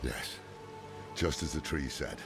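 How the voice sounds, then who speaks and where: An actor playing a gruff, world-weary old demon-hunting scholar, grave and terse, in a dark fantasy video game. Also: male voice, slow pace, over music, exaggerated voice. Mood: grim. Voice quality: very deep, gravelly.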